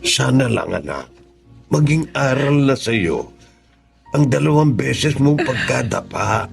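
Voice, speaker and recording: A man with a Filipino accent playing a caring older grandfather who gently admonishes, in a Tagalog radio drama. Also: mid-range voice, big pitch swings, unhurried, vocal fry, husky, soft background music, full character voice. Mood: gentle, concerned.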